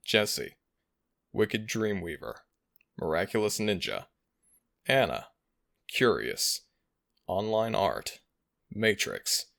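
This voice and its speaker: A man speaking earnestly in measured, formal wording as he addresses his followers as an audio roleplay host. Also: on the low side, creaky, bright, forceful tone, no room sound, clean studio sound. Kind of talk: addressing followers, channel update and shoutouts.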